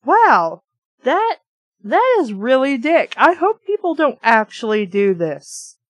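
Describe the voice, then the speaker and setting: A woman's voice: sits high, big pitch swings, slow, dry recording. A woman reading a novel aloud and breaking off for frank, informal commentary in an amateur reviewer's style. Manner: informal, frank asides, mild swearing. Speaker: amateur book reviewer and reader.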